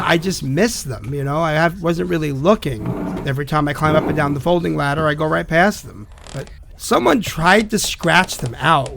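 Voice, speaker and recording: Man acting a rattled, ailing caller, crackly fry, faint noise behind, hesitant rambling, apologetic, horror audio drama monologue. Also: on the high side, a bit bright. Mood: upbeat, sheepish. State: sick. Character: sick, rattled, apologetic man leaving rambling phone messages.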